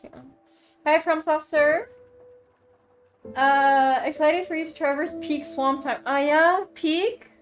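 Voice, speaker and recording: A high-voiced woman with a Quebec French accent chats loosely with her stream viewers, trailing off and laughing as she goes. Plain game music plays clearly behind her throughout. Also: a bit bright. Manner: chatty, rambling, laughs often, thanks viewers. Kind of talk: casual chat with viewers.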